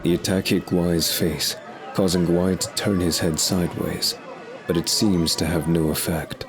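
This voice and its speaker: A man's voice: sits low, level tone, a little slow, over music. A man narrating a scripted story in the present and past tense, as a narrator.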